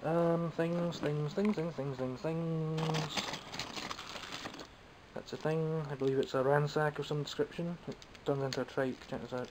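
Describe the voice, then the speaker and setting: A man's voice: a little high, fairly even intonation, measured pace, background noise. Wry Scottish man rambling about toys. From Scotland. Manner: wry, rambling and self-mocking. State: phlegmy, coughing. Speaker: toy collector vlogger. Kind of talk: casual unscripted toy haul chat. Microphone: camera mic, speaking from behind the camera.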